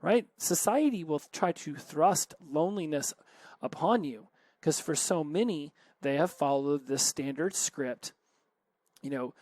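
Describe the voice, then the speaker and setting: A man's voice: on the high side, animated, unhurried, a little mellow, no echo. A man hosting a solo self-help podcast, talking earnestly and conversationally with frequent self-corrections. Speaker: podcast host.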